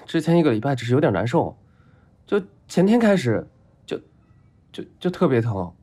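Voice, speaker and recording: A man playing a flustered, lovestruck young patient in an audio drama, hesitant and stammering, given to melodramatic excitement. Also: medium pitch, normal speed, natural voice. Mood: embarrassed, hesitant. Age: young adult. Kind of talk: audio drama acting with inner monologue. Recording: roomy echo, music behind.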